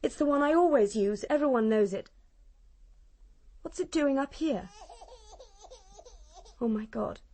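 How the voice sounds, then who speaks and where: A woman's voice: sits high, animated, unhurried, pauses often, mellow. An actress playing a distraught, genteel lady of the house with an agitated delivery in a British radio mystery. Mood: shocked, distressed. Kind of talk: British radio crime drama acting.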